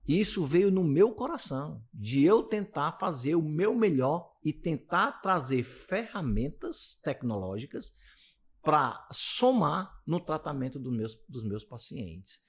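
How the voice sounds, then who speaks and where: A man's voice: fairly high pitch, very animated, takes it slowly, breathy edge. A man in his late fifties with a Brazilian accent, a physiotherapist being interviewed, talking casually and rambling with many restarts. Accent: Brazilian Portuguese. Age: 50s. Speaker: physiotherapist guest.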